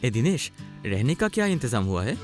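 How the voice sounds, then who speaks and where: Young village man for cartoon, male actor: earnest, reassuring, animated mid-pitched delivery over prominent music. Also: moderate pace, lightly acted. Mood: polite, curious. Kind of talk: Hindi cartoon story acting.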